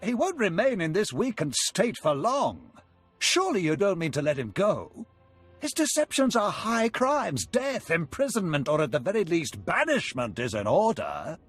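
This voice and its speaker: An actor playing a sly, urbane, foppish schemer in a video game, with a suave, mocking and grandly rhetorical manner. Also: male voice, high voice, wildly swooping pitch, moderate pace, fairly bright tone, husky, faint music behind, heavily acted. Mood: smug, insistent.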